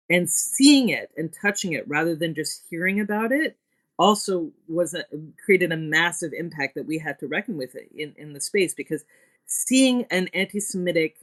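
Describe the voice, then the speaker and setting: A woman's voice: deep voice, fairly bright tone. A woman speaking as an interview guest, explaining carefully and correcting her wording as she goes. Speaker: interview guest, theatre director.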